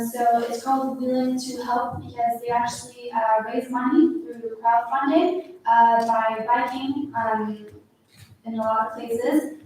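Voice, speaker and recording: A woman's voice: on the high side, dark tone. A young woman giving a group presentation as a student, somewhat hesitantly. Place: large echoey room at a student plenary. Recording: very echoey hall, distant mic, faint noise.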